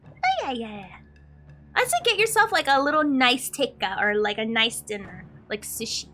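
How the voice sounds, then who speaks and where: A woman's voice: a little high, expressive, moderate pace, bright, forceful tone. A woman chatting casually as she streams a video game live. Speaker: game livestreamer. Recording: stream with game music behind.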